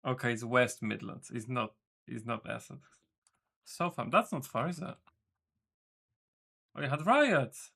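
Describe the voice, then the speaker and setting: A man's voice: medium pitch, sing-song, a little slow, gravelly, long pauses, fairly bright tone. A man streaming as a game developer, chatting casually with his viewers in a rambling way, correcting himself and swearing offhandedly. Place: home room.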